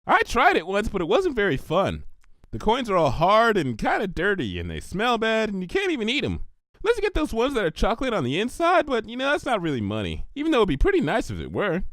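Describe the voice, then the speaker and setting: A man voicing a bouncy, excitable, scatterbrained young female pony who rambles and exclaims naively in a cartoon fan fiction reading. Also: sits high, fast talker, no echo, studio quality, voice pushed up, exaggerated voice. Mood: laid-back, chatty.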